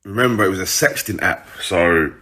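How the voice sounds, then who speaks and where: A man's voice: sits low, moderate pace, sharp tone. A man with a British urban accent telling a story casually to his followers, informal, slangy and sweary.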